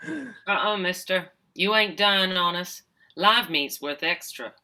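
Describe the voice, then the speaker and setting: A woman's voice: fairly low pitch, animated, slow pace, bright, forceful tone, heavily acted. A woman playing a scrappy, menacing rat alien pirate with folksy, ungrammatical speech in a comic sci-fi script read.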